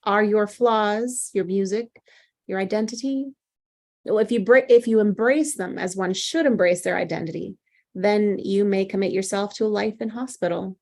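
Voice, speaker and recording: Woman playing a measured, probing psychiatrist. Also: mid-range voice, a little mellow, natural voice. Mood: calm, authoritative. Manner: measured, deliberate, clinical. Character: composed, probing female psychiatrist, coolly authoritative. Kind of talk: screenplay table read.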